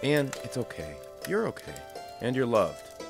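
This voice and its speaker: A man narrating a story and gently reassuring a girl in an audio drama. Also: mid-range voice, big pitch swings, measured pace, creaky. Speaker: narrator and family friend. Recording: music under the voice.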